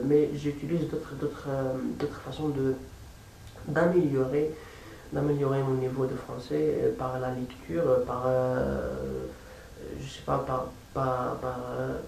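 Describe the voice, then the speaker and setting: A man with a non-native accent speaks off the cuff in a casual vlog style, at an ordinary male pitch. He moves forward haltingly, doubling back on words as he looks for the next phrase. The recording sounds roomy and far from the microphone. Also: creaky, warm tone. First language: not French or English. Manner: halting, repeats words while searching for phrasing. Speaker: amateur language-learning vlogger. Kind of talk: casual unscripted vlog talk. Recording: echoey room, distant mic, faint hiss.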